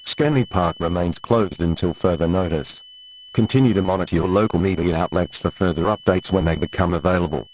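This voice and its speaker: A man's voice: low-pitched, a little fast, pauses often, darkish tone, a little hiss or hum, poor audio. A man reading a scripted administrative emergency message in a formal, official manner. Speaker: emergency alert announcer. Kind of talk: scripted emergency broadcast announcement.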